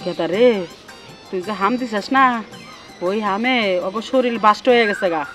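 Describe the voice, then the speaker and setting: A woman's voice: medium pitch, moderate pace, soft background music, close to an everyday voice. A woman voicing a brash, scolding village mother in a comic cartoon, with a rural Bangladeshi accent. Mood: scolding, amused. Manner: scolding, coarse, boastful. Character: brash, heavyset village mother fiercely defending her son.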